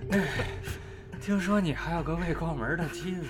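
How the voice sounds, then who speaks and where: A man's voice: high voice, heavily acted. A man playing a contemptuous bully in a period audio drama, spitting scorn and drawing out his taunts. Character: contemptuous male bully sneering at a poor scholar. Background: loud music.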